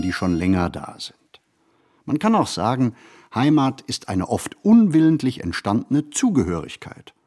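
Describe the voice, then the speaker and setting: A man giving a scripted reading of reflective literary prose in measured, deliberate phrases. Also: lowish voice, darkish tone. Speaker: reader of a literary prose text.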